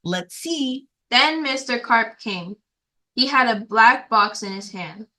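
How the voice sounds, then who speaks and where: A woman reading a story aloud to children in an expressive, emphatic way, addressing young listeners directly. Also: a little high, takes it slowly.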